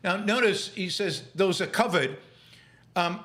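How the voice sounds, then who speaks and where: A middle-aged man hosting a political commentary show, speaking in a halting way with many fillers and restarts, and turning scornful and sarcastic. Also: high-pitched, fairly bright tone. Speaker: podcast host.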